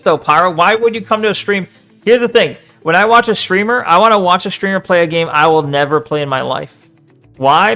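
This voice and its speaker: Brief: animated male streamer, a bit high-pitched, bantering with chat. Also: clean tone. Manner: animated, swears, talks back to the screen. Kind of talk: casual live-stream chat and video reaction.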